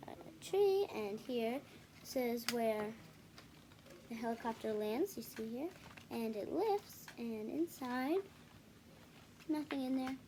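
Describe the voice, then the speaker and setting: A woman hosting a children's read-aloud video, reading a picture book with casual, halting, self-correcting asides to viewers. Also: on the high side, expressive, noisy background. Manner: halting, self-correcting, apologetic while showing pages.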